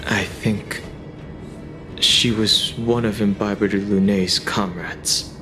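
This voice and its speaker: Low, reserved young man. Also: fairly even intonation, takes it slowly, music in the background. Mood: guarded, serious. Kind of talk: dramatic video game acting.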